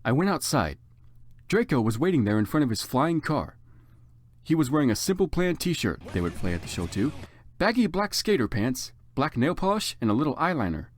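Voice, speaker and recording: A man's voice: fairly high pitch, sing-song, brisk. A man giving a dramatic, comedic story reading as narrator, with theatrical and exaggerated emphasis.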